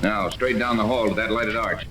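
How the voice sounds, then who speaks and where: A man's voice: lowish voice, fairly even intonation, moderate pace, lightly acted. A man playing a suspicious, commanding captain with a brusque, wary manner in a 1930s radio serial. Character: suspicious, commanding male captain, guardian of a wealthy woman. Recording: noisy 1930s radio recording, rain behind.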